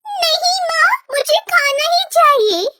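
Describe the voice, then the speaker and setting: A woman's voice: very high-pitched, lively intonation, takes it slowly, pushed and bright, no echo, heavily acted. A woman voicing a hungry little girl bird who whines and pleads in a cartoon. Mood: tearful, hungry. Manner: whining, pleading. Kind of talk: Hindi cartoon voice acting.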